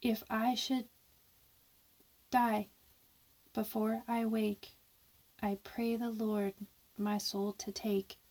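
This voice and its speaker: A woman's voice: fairly high pitch, little pitch movement, extremely slow, long pauses, darkish tone, exaggerated voice, rough recording. A woman playing a shaken, doubtful young woman in a radio horror drama, muted, reluctant and hesitant. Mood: halting prayer.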